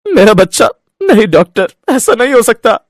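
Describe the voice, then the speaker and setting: A man plays a young husband in a cartoon moral tale. His fairly high voice is earnest, exclamatory and emotional, recorded dry. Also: very animated, normal speed, dry recording. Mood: distraught.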